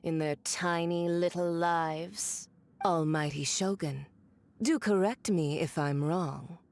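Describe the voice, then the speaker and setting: A woman's voice: lowish voice, fairly even intonation, takes it slowly, darkish tone, slight background noise. A woman playing a cruel, elegant villain diplomat in a video game, with a mocking, condescending and theatrical manner. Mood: smug, dismissive. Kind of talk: dramatic video game voice acting. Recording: game music behind the voice.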